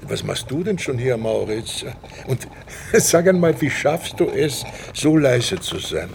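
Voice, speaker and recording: An actor playing a dry, easily amused middle-aged police inspector in a naturalistic radio drama, speaking conversationally with some hesitation. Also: male voice, mid-range voice, big pitch swings, slow pace, gravelly, a little hiss or hum, exaggerated voice. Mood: dry, curious. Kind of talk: naturalistic German radio drama, with inner monologue.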